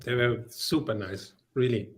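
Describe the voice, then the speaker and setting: A man co-hosting a podcast, chatty and quick to react with exclamations. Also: medium pitch, husky. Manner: chatty, laughs often, expressive. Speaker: podcast co-host. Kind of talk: casual chat.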